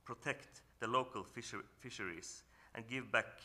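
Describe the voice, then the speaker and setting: A man from northern Norway with a Norwegian accent gives an earnest, reflective talk on a panel, often pausing and restarting as he searches for English words. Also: medium pitch, sharp tone. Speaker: panel speaker. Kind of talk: reflective presentation at a symposium.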